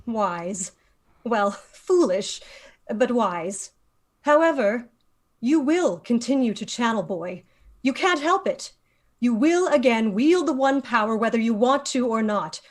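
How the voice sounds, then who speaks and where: Commanding, composed high-voiced actress as female leader. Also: expressive, slow. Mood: firm, grave. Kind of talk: table read of a screenplay.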